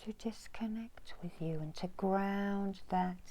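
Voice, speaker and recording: A middle-aged woman leading an ASMR guided meditation in a soft, breathy, mostly whispered voice with long soothing pauses. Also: mid-range voice, slight background noise. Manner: slow, hushed, soothing delivery with long pauses. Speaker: reiki healer and guided meditation host. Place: small indoor room.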